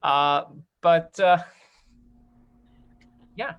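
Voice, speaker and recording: A man hosting a casual online talk-back, speaking in a friendly, encouraging way with halting starts and frequent fillers. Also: on the high side, clear, resonant tone, muffled recording. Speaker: host and online programming director of a theater company. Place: online video call.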